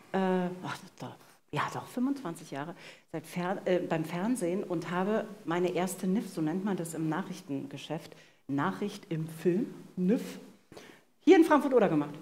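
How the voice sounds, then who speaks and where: Lower-voiced woman co-hosting on stage. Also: darkish tone. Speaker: co-host and editorial director. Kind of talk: casual live stage hosting. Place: stage in a vaulted venue with live audience. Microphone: headset.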